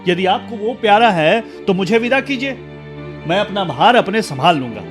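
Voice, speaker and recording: A man's voice: high-pitched, lively intonation, moderate pace. A man playing a proud, unyielding, angry elder brother in a radio drama, speaking sternly and emphatically. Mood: angry, resolute. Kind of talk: Hindi radio drama acting. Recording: music under the voice.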